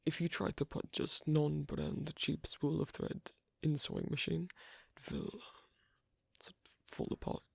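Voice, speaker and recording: An actor voicing an asocial, awkward but patient werewolf in an ASMR roleplay, speaking softly in halting, clipped phrases with a breathy, gravelly voice. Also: mid-range voice, steady tone, dry recording, studio quality, heavily acted. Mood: awkward, focused. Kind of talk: ASMR roleplay, soft close speech.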